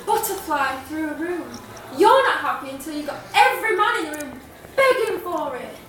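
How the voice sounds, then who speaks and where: A woman acts out a scornful, accusing young woman in a theatrical monologue. The voice is high and emphatic, pushed out across a very reverberant room. The recording is distant, with clear noise. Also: sharp tone, heavily acted. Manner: scornful, emphatic, projected stage delivery. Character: angry, accusing young woman berating her housemate. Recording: very echoey noisy room, distant mic.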